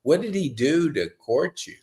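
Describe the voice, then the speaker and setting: A man's voice: on the high side, animated, normal speed, a little mellow. A middle-aged man hosting a casual podcast interview, effusive and rambling, with stop-and-start questions. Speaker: podcast host.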